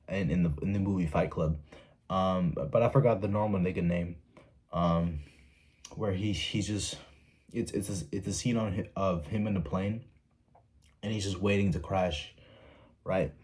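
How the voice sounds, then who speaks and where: A man's voice: sits low, darkish tone, slightly rough. A young man giving blunt, profane self-help advice to his viewers in a casual monologue, stopping often to ask "right?".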